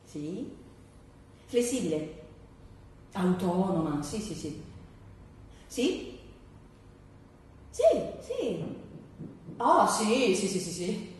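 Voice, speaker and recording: A woman's voice: mid-range voice, big pitch swings, extremely slow, long pauses, voice pushed down. A woman playing an over-agreeable, desperate job applicant in her thirties, gushing and repetitive in a theatrical satirical monologue. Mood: anxious, agreeable. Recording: very echoey stage, distant mic, faint noise.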